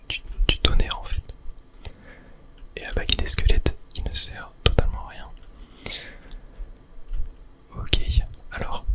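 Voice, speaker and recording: A person whispering in a breathy ASMR style while commentating on a video game, rambling and correcting themselves with little mouth-sound fillers. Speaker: gaming video host.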